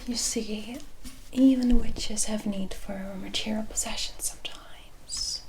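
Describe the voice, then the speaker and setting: Woman playing a slow, whispering, mysterious witch. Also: medium pitch, steady tone, darkish tone. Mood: sly, bargaining. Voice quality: whispered, breathy. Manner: slow, deliberate, coy, theatrical, addressing the listener as "my dear". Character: mysterious, sly, teasing woman witch who bargains. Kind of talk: whispered ASMR fantasy roleplay.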